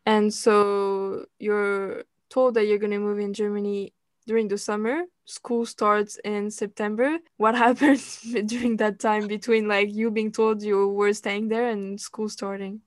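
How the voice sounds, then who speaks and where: Woman hosting a podcast, asks questions, pretty monotone, dry close mic. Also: mid-range voice, measured pace, no echo. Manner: attentive, asks questions, frequent "mm-hmm" and "okay". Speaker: podcast host. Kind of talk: casual interview.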